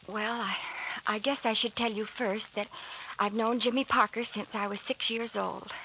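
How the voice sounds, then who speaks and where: A woman's voice: on the high side, fairly even intonation, moderate pace, sharp tone. An actress playing an earnest, hesitant young woman telling her story politely in an old-time radio drama. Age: young adult. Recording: noisy old radio recording.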